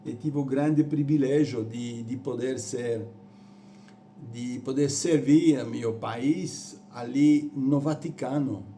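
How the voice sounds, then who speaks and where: Slow-speaking Italian man preaching. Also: mid-range voice, mellow, slight background noise. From Rome, Italy. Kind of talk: sermon on church history.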